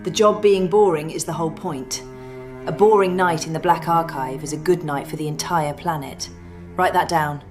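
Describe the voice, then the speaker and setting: An actress playing a brisk, wry woman in charge of a secret archive, clipped and dry, in an audio drama. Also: deep voice, fairly even intonation, close to an everyday voice. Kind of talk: scripted audio drama acting. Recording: music under the voice.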